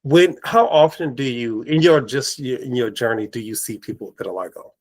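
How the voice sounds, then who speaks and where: A man hosting a casual podcast chat, his voice raspy from allergies, telling lively stories and acting out quoted remarks. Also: mid-range voice, brisk. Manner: animated storyteller, acts out quoted exchanges. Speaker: podcast host. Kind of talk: casual conversation.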